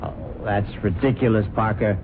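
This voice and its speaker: A man's voice: on the low side, steady tone, normal speed, noisy background, close to an everyday voice. A man playing a smug, jittery wealthy husband. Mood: irritable. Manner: smug, suave, then jittery. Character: vain, nervous wealthy husband, middle-aged. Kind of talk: 1970s radio drama acting and narration. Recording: narrow lo-fi old radio broadcast with music.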